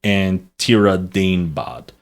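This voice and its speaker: A man with a fairly low voice hosts a relaxed history podcast. He explains the topic in a loose, rambling way, stumbling and correcting himself as he goes. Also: takes it slowly. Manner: rambling, many fillers and restarts, self-correcting. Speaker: podcast co-host presenting the topic. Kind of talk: casual history podcast chat.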